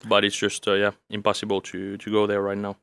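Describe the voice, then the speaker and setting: Low, even-voiced young man, calm. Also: gravelly, clear, resonant tone, no echo. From Finland, raised in France. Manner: calm, measured, with frequent fillers. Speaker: guest, OSINT analyst. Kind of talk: casual interview conversation.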